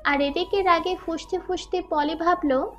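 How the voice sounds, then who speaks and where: A woman's voice: very high voice, steady tone, normal speed, highs cut off. A woman narrator reading a scripted dramatic story. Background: faint background music.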